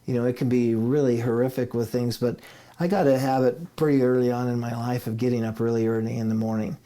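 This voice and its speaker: Mellow-voiced middle-aged man telling stories. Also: medium pitch, flat delivery, quick pace. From Idaho, United States. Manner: rambling storyteller, chuckles often, restarts sentences. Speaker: interview guest, attorney. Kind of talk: casual conversational interview.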